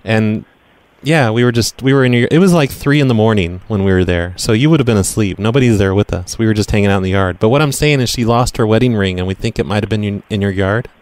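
A man's voice: fairly low pitch, quick pace, few pauses, a little mellow. A man making a casual prank phone call, talking in a nonchalant, insistent, innocent-sounding way. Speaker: prank caller.

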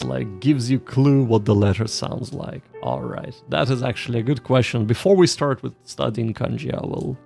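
A man with a gravelly, creaky edge to his voice hosts a casual study livestream. He talks straight to his viewers in a medium pitch, pausing and circling back over phrases as he explains. Soft music plays faintly behind him. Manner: halting, repeats himself, addresses viewers directly. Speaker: livestream host of a Japanese study session.

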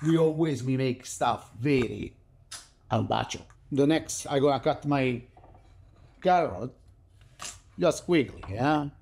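Slow-talking Italian man cooking at home. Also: medium pitch, vocal fry, slight background noise. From Italy. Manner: relaxed, chatty, non-native English phrasing. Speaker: cooking show host. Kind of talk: casual cooking demonstration. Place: home kitchen, indoors.

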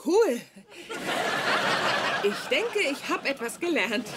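An actress playing a playful, eager podcast sidekick in a dubbed sitcom, with exaggerated scripted enthusiasm. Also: female voice, high-pitched, very animated, slow, heavy background noise, exaggerated voice. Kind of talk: dubbed German sitcom acting.

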